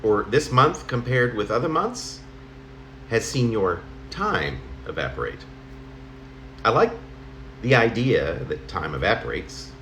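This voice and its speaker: Low-voiced man reading poetry, lively pitch. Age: middle-aged or older. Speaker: poet reading own work. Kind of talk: spoken poem reading in the form of a personal letter. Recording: roomy home recording, faint noise.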